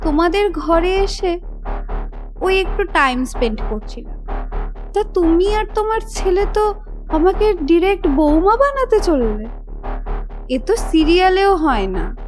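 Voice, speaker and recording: A woman's voice: very high-pitched, very animated, measured pace, long pauses, soft background music, exaggerated voice. A woman playing a rich young college woman in an audio story, haughty and mocking, with a taunting, condescending drawl. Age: young adult.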